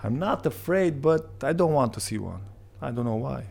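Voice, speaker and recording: Romanian-accented man in casual, halting interview talk. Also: mid-range voice, animated, gravelly, darkish tone. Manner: halting, simple non-native English. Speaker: waiter acting as interpreter.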